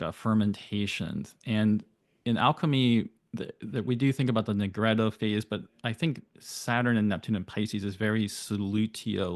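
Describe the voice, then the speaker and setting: Low-voiced man explaining steadily. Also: dry recording. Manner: thoughtful, flowing explanations with rapid bursts. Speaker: astrologer guest. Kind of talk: explanatory interview talk.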